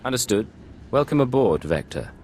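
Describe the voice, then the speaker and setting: An actor playing a terse, composed and professional secret agent in a video game. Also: male voice, mid-range voice, big pitch swings, moderate pace, a little mellow, a little hiss or hum, close to an everyday voice. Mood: cool, composed. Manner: terse, controlled replies. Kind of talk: scripted video game dialogue.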